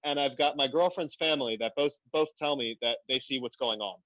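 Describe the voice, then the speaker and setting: A man from Afghanistan talking bluntly and animatedly in a casual podcast conversation, quick to laugh. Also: mid-range voice, a bit bright, dry recording, low-quality recording. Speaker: podcast guest.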